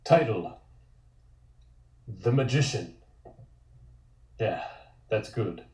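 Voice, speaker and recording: A man's voice: on the low side, animated, extremely slow, darkish tone. A man narrating scene directions from a comic script at a table read. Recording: echoey room.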